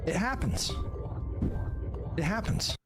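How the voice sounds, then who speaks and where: Animated male streamer with music behind him. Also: high-pitched, slow pace. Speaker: streamer talking to his chat. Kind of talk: casual rambling livestream talk.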